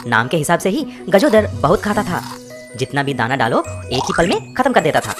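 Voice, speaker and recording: A woman narrating a children's cartoon tale. Her voice sits low but moves lively through the story. Clear background music plays throughout. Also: moderate pace. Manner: expressive storytelling. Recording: music bed behind.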